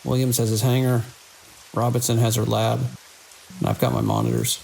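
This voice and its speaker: A weary male security chief on night watch, played by an actor, with a gravelly voice and dry, measured delivery. It is audio drama, with a light layer of hiss and rain-like noise over it. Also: mid-range voice, little pitch movement, a little mellow, rough recording. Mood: reflective. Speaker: security lead. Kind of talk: audio drama, logs and radio dialogue.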